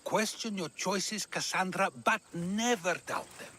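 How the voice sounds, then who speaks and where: An actor playing a wise, wry old philosopher in a video game cutscene, talking in a musing, questioning way. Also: male voice, high-pitched, a little slow, slight background noise. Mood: reflective. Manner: musing, questioning, gently teasing. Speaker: game character.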